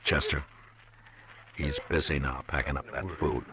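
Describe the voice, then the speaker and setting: An actor playing a weary, steady US marshal who narrates in a measured, calm way, in 1950s radio drama. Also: male voice, extremely low pitch, crowd noise.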